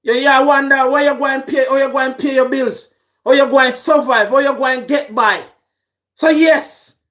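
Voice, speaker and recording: A man with a Jamaican accent, shouting through an angry political rant. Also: very high-pitched, fairly even intonation, fairly quick. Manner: shouting, raging.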